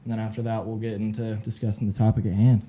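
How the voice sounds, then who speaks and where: A man's voice: lowish voice, flat delivery, quick pace, warm tone. A man hosting a podcast in a loose, casual way with lots of fillers. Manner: loose, filler-heavy talk. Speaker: podcast host.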